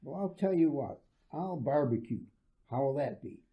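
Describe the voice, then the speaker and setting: A man playing an affable suburban husband and father in an old-fashioned radio drama, casual, folksy and gently concerned. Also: mid-range voice, animated, normal speed, lo-fi sound, close to an everyday voice.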